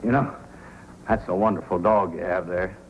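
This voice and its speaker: An actor playing a talkative, earnest frontier warehouse boss in old-time radio style. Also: male voice, low voice, steady tone, moderate pace, close to an everyday voice. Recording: noisy old radio recording.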